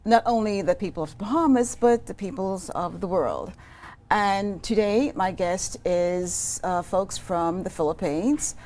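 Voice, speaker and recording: A woman's voice: mid-range voice, measured pace, a little mellow. Hesitant woman hosting an interview. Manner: hesitant, many ums and backchannels. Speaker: talk show host. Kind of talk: casual interview talk.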